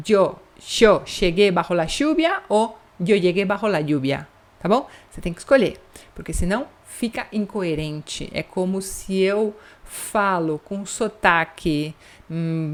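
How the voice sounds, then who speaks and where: Woman from Brazil, language teacher, chatty, mid-pitched voice, slows for examples. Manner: chatty, checks in with "tá bom?" after points; slows down to spell out example words. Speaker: Spanish teacher. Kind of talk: online language lesson.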